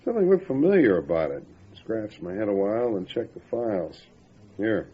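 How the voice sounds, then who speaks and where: A man's voice: fairly low pitch, sing-song, normal speed, breathy edge. A man playing a folksy, teasing small-town lawman in an old-time radio drama. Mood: wry, teasing. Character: folksy, teasing male lawman, deep-voiced desk colleague. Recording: dull lo-fi radio drama recording, faint noise.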